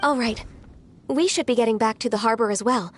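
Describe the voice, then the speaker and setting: An actress playing a gentle, earnest young woman in a video game, polite and soft-mannered. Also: on the high side, brisk, slight background noise, close to an everyday voice.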